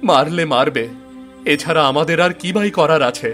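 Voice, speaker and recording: Poor young farmer acted by a man, highish voice, desperate, plaintive, protesting, cartoon folk tale, music underneath. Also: moderate pace, a bit bright, close to an everyday voice. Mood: despairing. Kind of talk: animated folk tale acting.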